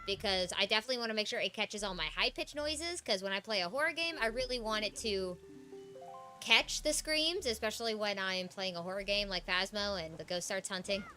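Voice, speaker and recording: A young adult woman, a game streamer, chatting playfully while she plays and deliberately pitching her naturally high voice lower. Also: bright, forceful tone. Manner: playful and teasing. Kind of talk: casual livestream chat while gaming. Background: game music.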